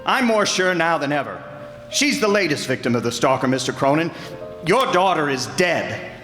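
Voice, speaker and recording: A dogged, bold amateur sleuth of old-time radio crime drama, acted by a man. His delivery is lively and a little high-pitched, with the long echo of a hall around it. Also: normal speed, faint music behind. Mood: startled, insistent. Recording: echoey hall.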